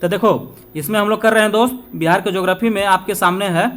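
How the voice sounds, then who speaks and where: A man's voice: sits high, quick pace, fairly bright tone. A man from India, teaching exam revision informally, repeating points and answering his own questions, with chatty check-ins. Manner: repetitive, asks questions then answers them, chatty check-ins with listeners.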